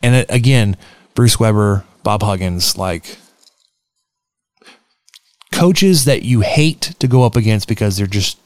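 A man's voice: lowish voice, smooth, no echo. A man co-hosting a sports podcast in casual chat, rambling through stats with frequent fillers and restarts. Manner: rambling, filler-heavy, halting. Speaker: podcast co-host.

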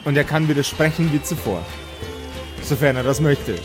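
A male game master with a fairly high voice narrates a tabletop role-playing session. He explains rules and scenes over faint music. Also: normal speed.

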